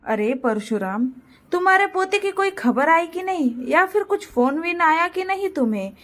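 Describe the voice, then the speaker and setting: Woman playing gossiping villager, high-pitched, nosy, blunt, cartoon moral story. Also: animated, moderate pace, a bit bright. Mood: prying.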